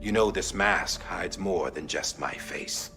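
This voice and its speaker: An actor playing a shrewd masked director who speaks in a deliberate, folksy, conspiratorial way in a dramatic game cutscene. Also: male voice, low voice, bright, forceful tone, exaggerated voice. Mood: suspicious, probing. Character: shrewd masked director leaving a grave secret message. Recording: music bed under the voice.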